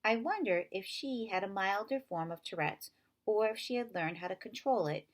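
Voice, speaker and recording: A woman narrating a children's novel in an expressive read-aloud style. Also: medium pitch, moderate pace.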